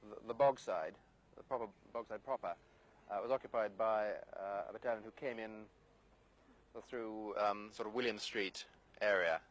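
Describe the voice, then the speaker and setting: A male army officer gives an unscripted briefing in a fairly low voice. He is formal and understated, feeling his way through phrases with frequent hesitations. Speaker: British Army battalion officer. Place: outdoors, overlooking the area.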